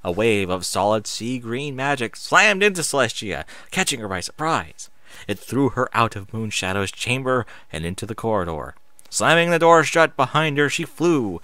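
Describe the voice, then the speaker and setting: Animated bright-voiced man reading a story. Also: mid-range voice, moderate pace, no echo. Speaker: narrator.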